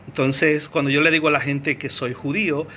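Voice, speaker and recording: A man from Venezuela giving an unscripted history lecture, hesitant, with many fillers and restarts, searching for words and often clearing his throat. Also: a little high, flat delivery, a little fast, pushed and bright, slight background noise. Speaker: teacher.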